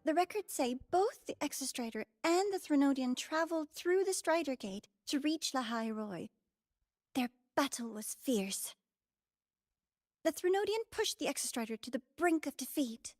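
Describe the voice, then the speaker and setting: A woman's voice: high voice, animated, moderate pace, a little mellow, no room sound, studio quality. A woman voicing a young female assistant in a video game, reading out records in an earnest, hesitant way.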